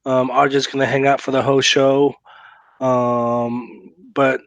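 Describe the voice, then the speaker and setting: Podcast co-host, man, raspy worn voice, teasing banter, dry close mic. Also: mid-range voice, measured pace, no echo. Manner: teasing, joking, animated. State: raspy voice from a cough. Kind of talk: casual chat.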